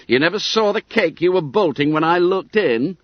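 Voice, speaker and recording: A man voicing hearty, indignant schoolboys in a story reading, brisk, accusing and boisterous. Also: high-pitched, lively intonation, no room sound, dull audio, pitched above the performer's own voice.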